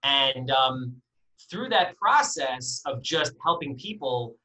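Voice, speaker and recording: A man's voice: mid-range voice, lively intonation, slow, bright, forceful tone, poor audio. A man of forty, a guest in a casual interview, telling stories candidly and energetically with frequent fillers and the odd swear word. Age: 40s.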